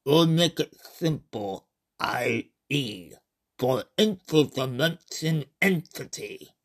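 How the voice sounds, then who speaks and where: A man voicing a calm, condescending alien entity, secretly a tentacled monster, with a deliberate, drawn-out delivery in a horror short story. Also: medium pitch, pitched below the performer's own voice. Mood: calm, explaining.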